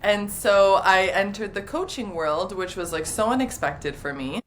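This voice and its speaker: A woman's voice: medium pitch, animated, a little slow, slight background noise, low-quality recording. A young woman in her twenties, a relationship coach, talking casually and openly with many fillers as an interview guest. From half Dominican, half Italian, partly raised in the US. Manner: chatty, many "like" fillers, warm and open.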